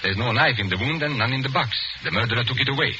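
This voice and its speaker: A man playing a calm, commanding foreign illusionist turned sleuth in 1940s radio mystery style, speaking formally and deliberately with authority. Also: medium pitch, natural voice. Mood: grave, knowing. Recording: noisy, dull 1940s radio recording.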